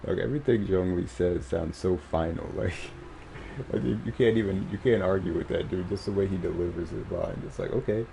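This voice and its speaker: A man's voice: deep voice, a little fast, vocal fry, a little hiss or hum. A man giving casual live commentary as he streams a video game. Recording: loud game sound behind.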